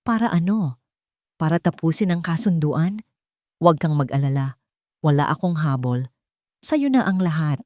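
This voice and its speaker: A woman's voice: low voice, lively intonation, normal speed, pauses often, mellow, dry recording, clean studio sound. A woman voicing a bitter, guarded young woman. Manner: bitter, cold. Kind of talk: dramatized audiobook.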